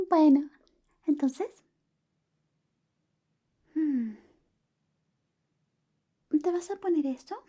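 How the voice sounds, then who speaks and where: A young woman playing a sly, clingy female best friend secretly in love, in a soft, whispery, coy ASMR roleplay. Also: high-pitched. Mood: fake sweetness, then teasing, critical. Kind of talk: Spanish ASMR roleplay, personal attention.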